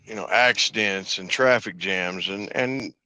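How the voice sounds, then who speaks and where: Relaxed man chatting on a panel. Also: on the low side, measured pace, dry recording, poor audio. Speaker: panel guest, truck driver. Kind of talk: casual live panel chat.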